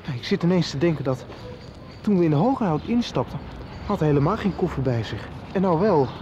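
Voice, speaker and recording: A man's voice: a little high, expressive, brisk, warm tone, natural voice. A man playing nervous young Joris. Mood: excited. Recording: lo-fi radio play with street noise.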